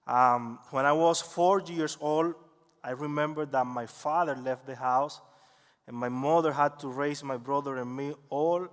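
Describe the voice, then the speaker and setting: A man with a Dominican Spanish accent, a native Spanish speaker, giving an earnest, measured personal testimony as a guest speaker in church. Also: on the high side. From Dominican Republic. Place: large church sanctuary. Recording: echoey church hall with long reverb.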